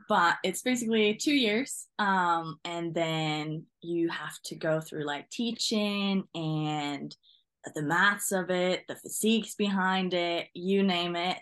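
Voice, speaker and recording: Young woman from Norway, interview guest, slightly low voice, relaxed chat with frequent laughs. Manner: friendly, laughs often while talking. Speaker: newly qualified driving instructor, guest.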